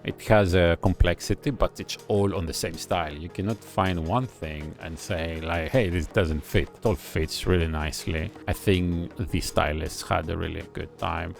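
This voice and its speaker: Male reviewer, very low gravelly voice, analytical chat, soft music behind. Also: fairly even intonation. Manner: analytical, admiring, thinks aloud with pauses. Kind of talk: casual reaction commentary. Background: faint music video playing.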